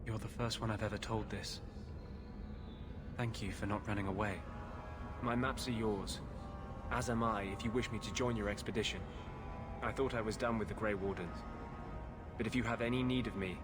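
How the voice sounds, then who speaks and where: A brooding rebel mage from a fantasy game, played by a male actor, haunted and confessional. The voice is fairly low, earnest and hesitant, with music clearly playing behind it. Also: fairly even intonation, moderate pace, pauses often, natural voice. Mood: subdued, grateful. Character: brooding, tormented rebel mage sharing his body with a spirit. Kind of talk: video game cutscene acting.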